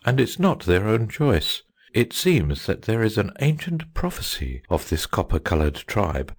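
A woman voicing a solemn, pompous golden phoenix, a male bird, speaking slowly and precisely in a storybook reading. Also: very deep voice, a little mellow, slightly rough, no echo, clean studio sound, pitched below the performer's own voice, full character voice. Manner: slow, stately, precise.

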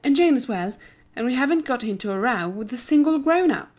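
A fairly high, sensible girl's voice, performed by a woman. She is the earnest, brisk and bossy elder sister of a children's storybook. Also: animated, darkish tone.

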